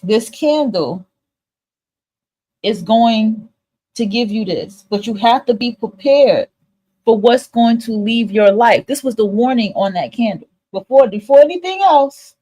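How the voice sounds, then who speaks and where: A woman's voice: fairly high pitch, lively intonation, a little slow, mellow, rough recording. A woman host talking casually and candidly to her listeners in an emphatic, repetitive, motivational monologue, swearing freely. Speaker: host of a spiritual advice livestream.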